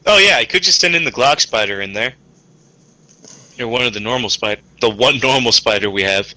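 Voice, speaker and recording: A man's voice: mid-range voice, lively intonation, a little fast, sharp tone, poor audio. A man talking casually as a player in a tabletop roleplaying game, discussing options with the group.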